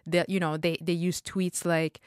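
Smooth-voiced woman podcast co-host. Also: lowish voice, moderate pace, no room sound, studio quality. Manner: animated, opinionated, laughs easily. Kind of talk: conversational podcast discussion.

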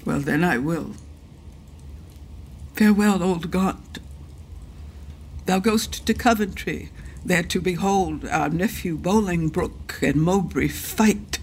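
An actress playing a grieving older widowed duchess in a Shakespearean radio drama, speaking husky, emotional formal verse. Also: female voice, on the low side, slow, long pauses, darkish tone, slight background noise. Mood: grieving, desolate.

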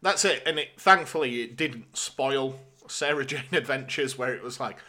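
A man's voice: mid-range voice, normal speed, bright, forceful tone. A man co-hosting a podcast in casual conversation. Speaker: podcast co-host.

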